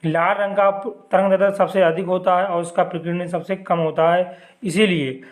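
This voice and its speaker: A young male chemistry teacher with a sore throat, clearing it now and then, who walks through exam questions and answers his own questions. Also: high-pitched, steady tone, normal speed. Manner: repeats points as questions and answers them himself, adds "ji" to sentences. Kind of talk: exam-prep lesson explaining diagrams.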